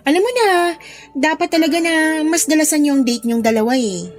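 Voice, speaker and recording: A woman's voice: high-pitched, lively intonation, fairly quick. A woman with a Filipino accent playing a supportive, curious young best friend in a radio drama, teasing and reassuring.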